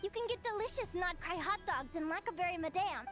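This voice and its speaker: A woman's voice: very high voice, animated, brisk, fairly bright tone. An actress playing a cheerful, confident young woman advisor in a video game, bubbly and instructive. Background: music.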